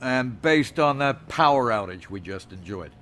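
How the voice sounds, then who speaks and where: A man playing a weary, formal director of a secret base in a science fiction audio drama, with a measured, resigned manner. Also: mid-range voice, expressive, fairly bright tone, slight background noise, close to an everyday voice. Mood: dry, weary.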